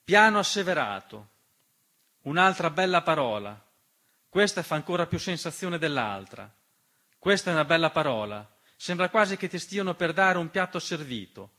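A man's voice: a little high, big pitch swings, moderate pace, bright, forceful tone. A man delivering a theatrical political monologue in a rhetorical, impassioned manner, speaking directly to the listener. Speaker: stage monologist. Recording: piano music behind the voice. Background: piano accompaniment.

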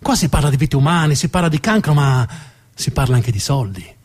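A man performing dramatic scripted narration as a theatre storyteller, with a deliberate, emphatic delivery and dramatic pauses. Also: mid-range voice, animated, darkish tone, slightly rough.

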